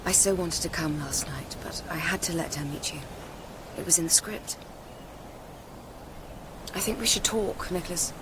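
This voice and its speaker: A woman's voice: fairly low pitch, normal speed, pauses often, noisy background, lightly acted. An actress playing an articulate young Englishwoman, educated, confiding and lightly teasing, in naturalistic radio drama dialogue. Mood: candid.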